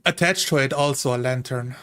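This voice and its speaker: A man playing a dependable dwarf traveller in a tabletop game, measured, thoughtful and halting. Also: medium pitch, a bit bright, natural voice. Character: brave, dependable, terse male dwarf traveller.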